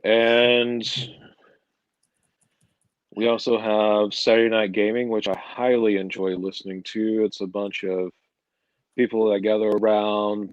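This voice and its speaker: A man playing a dry, deadpan comic-book villain scientist as an in-character guest on a livestream. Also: fairly low pitch, dry recording, dull audio, close to an everyday voice. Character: Doctor Hugo Strange, male comic-book villain scientist as a podcast guest. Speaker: guest columnist.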